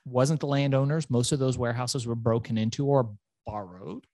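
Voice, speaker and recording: A man from Iran, whose first language is Farsi, recounts his rough early years as a lively guest in an interview. His delivery is animated and peppered with swearing. The recording is dry and close. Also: medium pitch, normal speed, dry recording. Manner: animated, profane storyteller. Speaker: entrepreneur guest. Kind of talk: storytelling interview.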